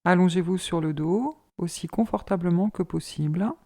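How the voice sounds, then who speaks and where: A woman leading a guided yoga relaxation as an instructor, in a calm, measured, soothing manner. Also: fairly low pitch.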